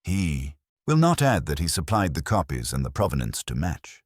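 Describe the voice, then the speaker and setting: A man's voice: extremely low pitch, sing-song, moderate pace, slightly rough, no echo, studio quality, heavily acted. A man voicing a shrewd, commanding master detective in an audiobook, speaking formally, precisely and with authority. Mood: stern, methodical.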